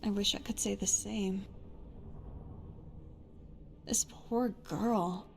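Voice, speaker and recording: A woman's voice: mid-range voice, steady tone, extremely slow, vocal fry. A woman playing a tough, sardonic but caring adventuring companion in a fantasy video game. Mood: sad, uneasy. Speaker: voiced follower in a game mod.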